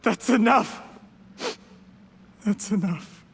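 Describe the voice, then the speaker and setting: A man playing a smooth, scheming young official in a video game, polite, formal and ingratiating, with a defensive edge. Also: high-pitched, extremely slow, full character voice. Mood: distraught. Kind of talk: dramatic video game dialogue. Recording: long hall reverb, noisy ambience.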